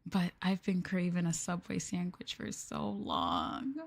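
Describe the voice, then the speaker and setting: A woman with an American accent and a slightly low voice chats with her live viewers. Her delivery is soft and casual in an ASMR style, with expressive, bubbly reactions as she talks. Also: a little slow, creaky. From United States. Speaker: streamer chatting with live viewers. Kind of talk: casual ASMR chat stream.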